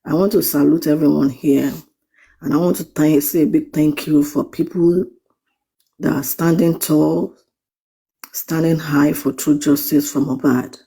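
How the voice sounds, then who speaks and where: A speaker in an online audio chat gives formal greetings and thanks. The voice is gravelly and husky, and somewhat dark. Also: medium pitch, fairly even intonation, measured pace, rough recording. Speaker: chat participant. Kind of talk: casual group audio chat.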